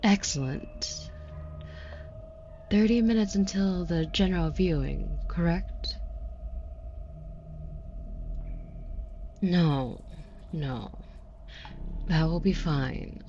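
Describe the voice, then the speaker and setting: A woman's voice: lowish voice, slow pace, pauses often, mellow, highs cut off, a little hiss or hum. A woman playing a haughty, predatory demon buyer in an intimate audio roleplay, taunting with condescending, mock-sweet cruelty. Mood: cool, businesslike.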